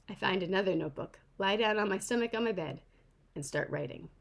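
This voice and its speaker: Expressive woman reading a children's novel aloud. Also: mid-range voice, fairly quick, gravelly. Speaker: author reading own book.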